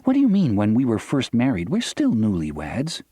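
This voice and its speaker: A man's voice: mid-range voice, huge pitch swings, moderate pace, warm tone, slightly rough, no room sound, clean studio sound. A man voicing an emotional young newlywed woman in an audiobook. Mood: playful protest. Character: anxious young newlywed woman, tender daughter.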